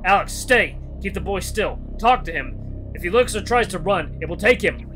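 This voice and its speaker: Man acting out a panicked runner, high urgent pleading. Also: animated, normal speed, music in the background, low-quality recording. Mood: urgent, commanding. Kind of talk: dramatic first-person horror story acting.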